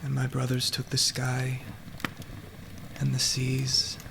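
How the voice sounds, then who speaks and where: A man's voice: medium pitch, monotone, takes it slowly, creaky, noisy background. A man playing a brooding, guarded, weary underworld god in a radio play, terse and subdued. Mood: sombre.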